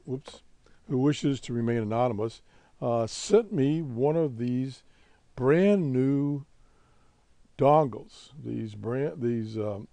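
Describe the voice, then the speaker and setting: A man hosting a casual hobby video, reviewing gear in a halting, rambling way and correcting himself as he goes. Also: medium pitch, mellow, dry recording. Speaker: host of a radio hobby video show. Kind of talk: casual product review to camera.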